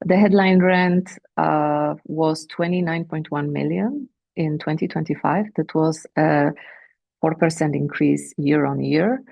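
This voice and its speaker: A woman with a Romanian accent presenting company sales figures on an earnings call, measured and pausing often with fillers. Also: sits low, fairly even intonation, vocal fry, no room sound, lo-fi sound. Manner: measured, with frequent filler pauses. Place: remote teleconference.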